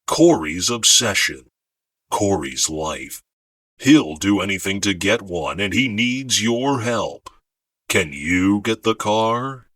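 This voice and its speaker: A man acts out a bombastic male announcer from an eighties game trailer. The voice is forceful and husky, pushing dramatic promotional lines in punchy bursts, recorded dry and close. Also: low-pitched, dry recording, full character voice.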